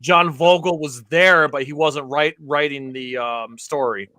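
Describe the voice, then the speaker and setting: A man's voice: a little high, fairly even intonation, a little slow, sharp tone, no echo, studio quality. A man on a podcast panel, explaining and giving opinions in casual fan discussion.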